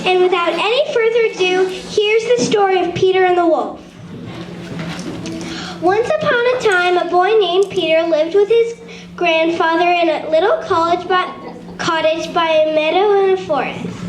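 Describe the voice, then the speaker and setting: A female narrator with a very high voice reads a storybook script aloud. She occasionally trips and restarts. The room around her rings with echo. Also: moderate pace, a crowd in the background. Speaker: narrator of a shadow puppet show. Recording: echoey room.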